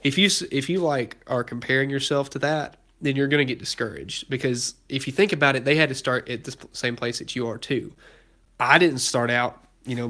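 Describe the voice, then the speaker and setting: A man's voice: mid-range voice, fairly bright tone. A young man hosting a casual live stream from his garage, a bit congested and losing his voice, rambling with lots of fillers and restarts. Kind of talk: casual live stream, answering chat questions. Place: cluttered home garage with a project car. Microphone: phone held while filming.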